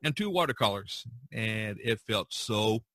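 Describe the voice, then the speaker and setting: A man's voice: lowish voice, expressive, measured pace, fairly bright tone, dry recording. A man hosting a casual podcast about art, talking in a rambling, chuckling way. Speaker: podcast host and painter.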